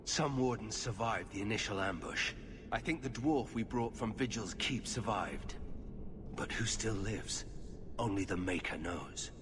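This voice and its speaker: A man's voice: fairly low pitch, in a large hall, close to an everyday voice. Man acting a grave warden, noisy backdrop. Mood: urgent. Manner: serious, measured. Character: grave, guarded male warrior warden. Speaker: warden expedition leader in a fantasy game. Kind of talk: video game voice acting. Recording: clear background noise, game ambience.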